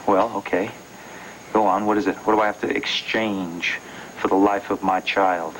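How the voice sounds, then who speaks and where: An actor playing a distrustful, volatile kidnapper on the run in a television soap, stammering, menacing and wounded. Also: male voice, low voice, steady tone, moderate pace, fairly bright tone, a little hiss or hum, poor audio. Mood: suspicious. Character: distrustful, volatile fugitive kidnapper desperate to be a father. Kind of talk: television soap drama acting.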